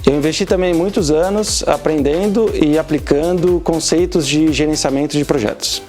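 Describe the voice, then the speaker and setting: A man from Brazil, a native Portuguese speaker with a Brazilian accent, answering interview questions carefully, with some pauses and restarts. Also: fairly high pitch, a little mellow, soft background music. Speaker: automation specialist interviewee.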